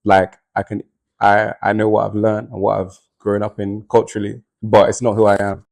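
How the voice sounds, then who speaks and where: A man talks in a low, flat, gravelly voice. He speaks measuredly and thoughtfully, and the sound is dry and close. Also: moderate pace, no echo. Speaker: panel guest. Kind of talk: casual discussion.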